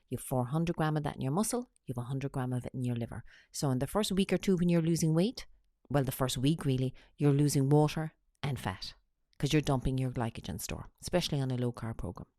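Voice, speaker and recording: Woman, low voice, has a cold, steady explaining tone, dry close sound. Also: no echo. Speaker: weight-loss coach. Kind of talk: narrated slide presentation.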